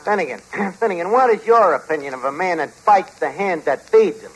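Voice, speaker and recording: An actor playing a wisecracking, put-upon, slangy tavern manager in an old-time radio comedy. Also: male voice, sits high, lively intonation, a little fast. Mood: exasperated. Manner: colloquial, ungrammatical, dry. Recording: noisy vintage radio recording.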